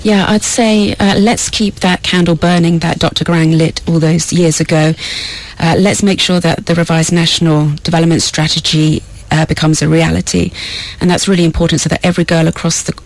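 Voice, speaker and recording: A woman speaking as an interview guest on education, with a flat, even delivery. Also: low voice, fairly quick, poor audio. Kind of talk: radio interview.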